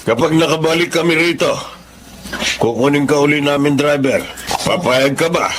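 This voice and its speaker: A man's voice: a little high, normal speed, noisy background, close to an everyday voice. A man playing a stern rich boss. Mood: grateful. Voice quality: commanding, curt. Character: stern wealthy male employer. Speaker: employer.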